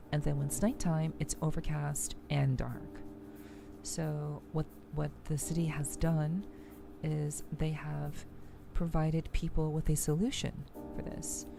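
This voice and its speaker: Female livestream host, low and fry-heavy, gentle rambling ASMR-style chat, background music. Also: background noise. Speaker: streamer, host of a weekly live show. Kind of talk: soft-spoken ASMR game stream chat. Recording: music playing behind the voice.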